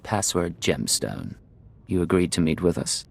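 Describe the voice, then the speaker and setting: Deep, gravelly male secret agent. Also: big pitch swings, measured pace, exaggerated voice. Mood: businesslike. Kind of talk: video game voice acting.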